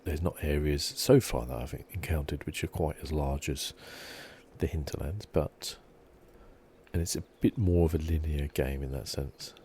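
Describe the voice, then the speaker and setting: A man giving relaxed ASMR commentary on a video game in a soft, unhurried, musing way. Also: rumbling low, a little hiss or hum. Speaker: gaming channel host.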